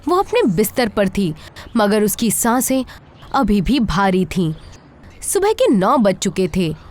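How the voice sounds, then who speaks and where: A woman's voice: mid-range voice, big pitch swings, takes it slowly, a little mellow. A woman telling a scripted horror story as narrator, in a suspenseful, theatrical manner.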